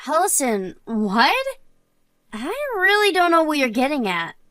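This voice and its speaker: A woman's voice: high voice, big pitch swings, measured pace, bright, forceful tone, dry recording, clean studio sound, exaggerated voice. A woman playing an earnest married woman and loyal friend in a scripted story drama. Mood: bewildered, defensive.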